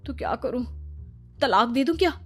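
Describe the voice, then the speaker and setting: High-pitched, fed-up young wife acted by a woman. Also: lively intonation, normal speed, husky, faint music behind. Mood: complaining. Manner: complaining, melodramatic. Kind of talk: Hindi cartoon story acting.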